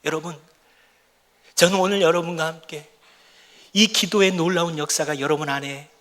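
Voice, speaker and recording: A hoarse man preaches fervently as a missionary, his high, bright voice pushing hard as he presses his point. The sound rings out with the long reverb of a church sanctuary. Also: steady tone, normal speed. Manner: fervent, impassioned preaching that swells to a shout. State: hoarse from a lingering throat cold. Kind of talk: mission report sermon.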